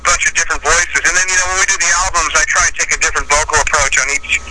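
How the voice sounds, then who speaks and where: A man's voice: fairly high pitch, fairly even intonation, racing. A man who sings in a metal band, talking casually in an interview and rambling with many "you know"s and "like"s. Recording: loud background noise.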